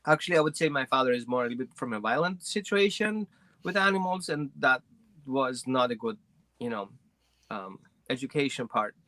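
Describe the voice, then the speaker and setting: Bright-voiced man from Greece. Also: medium pitch, expressive, brisk, no room sound. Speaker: guest, dog trainer. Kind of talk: personal storytelling in an interview.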